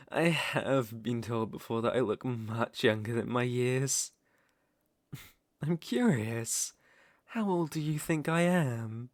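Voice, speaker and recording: A man's voice: mid-range voice, no room sound, studio quality, lightly acted. A man with a British accent playing a centuries-old aristocratic vampire lord in an ASMR roleplay, suave, predatory and teasing, with formal, theatrical phrasing. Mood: teasing, charming. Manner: silky, theatrical, teasing and formal with lingering pauses.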